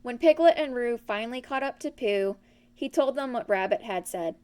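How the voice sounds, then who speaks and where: Lady reading a kids storybook out loud, voice a bit on the high side. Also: expressive, moderate pace, clear, resonant tone. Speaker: storybook reader. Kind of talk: scripted children's story narration.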